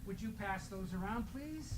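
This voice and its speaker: A man's voice: sits high, expressive, normal speed, slight background noise, poor audio. A man leading a children's time at church, reading aloud and chatting to the children in a playful way, with questions and simple instructions.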